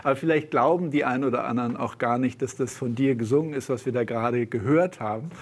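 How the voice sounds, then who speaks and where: A man's voice: medium pitch, fast talker, breathy edge. Middle-aged male TV host asking questions. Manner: friendly questioning, some fillers. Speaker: talk show host. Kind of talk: TV interview. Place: TV studio.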